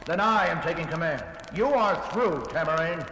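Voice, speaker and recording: A man's voice: a little high, normal speed, echoey room, big-room sound, music in the background, lightly acted. An actor playing a treacherous, scornful and defiant tribesman in a 1970s record drama. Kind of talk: 1970s children's record audio drama acting.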